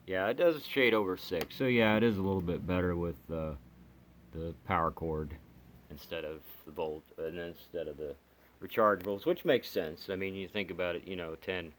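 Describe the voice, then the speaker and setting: Low-voiced man casually demonstrating gear, noisy recording. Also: moderate pace. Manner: plainspoken, muttering to himself while working. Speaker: hobbyist radio reviewer. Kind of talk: casual unscripted product demonstration. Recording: steady background noise, amateur home video.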